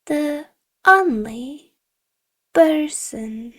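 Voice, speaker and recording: A woman plays a stern female middle school teacher in an audiobook story. Her voice is high and she speaks very slowly, leaning on key words. She lectures with deliberate, condescending firmness. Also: expressive, a bit bright, heavily acted. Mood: pointed, accusing. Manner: slow, deliberate, emphatic, lecturing. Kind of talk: acted audiobook dialogue.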